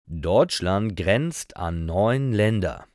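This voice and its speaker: A man slowly reading example phrases for beginner learners, each phrase set apart. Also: lowish voice, animated, darkish tone, no echo, clean studio sound. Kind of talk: scripted example sentences.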